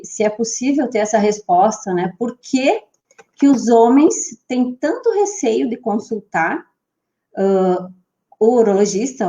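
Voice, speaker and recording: A woman's voice: fairly high pitch, mellow. A woman hosting an online health panel, introducing guests and asking questions with many restarts. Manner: hesitant, with many restarts and fillers. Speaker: panel host.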